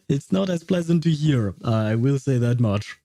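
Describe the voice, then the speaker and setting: A man's voice: medium pitch, animated, a little slow, mellow, no echo, studio quality. A man streamer chatting casually with viewers in a rambling, hesitant way. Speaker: livestreamer. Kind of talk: casual livestream chat, replying to viewers.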